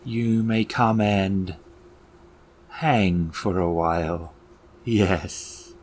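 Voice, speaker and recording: A man voicing a theatrical, sinister keeper of the dead in a tabletop game, speaking slowly in formal, ominous phrases. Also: low-pitched, steady tone, a little mellow, slight background noise. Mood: coldly courteous. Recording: music bed under the voice. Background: music.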